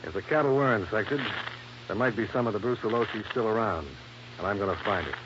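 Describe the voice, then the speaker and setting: A man's voice: fairly low pitch, fast, dull audio, lightly acted. A man playing a tough, wry American insurance investigator in a 1950s radio detective drama, dry and confident. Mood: dry, resolute. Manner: dry, confident, matter-of-fact. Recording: noisy, band-limited old radio recording.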